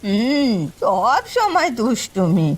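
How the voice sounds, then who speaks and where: A woman's voice: fairly high pitch, very animated, slow pace, full character voice, rough recording. A woman voicing a kindly old village mother in a cartoon story, fussing over and affectionately scolding her adopted son. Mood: fussing, tender. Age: older. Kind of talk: Bengali cartoon story voice acting.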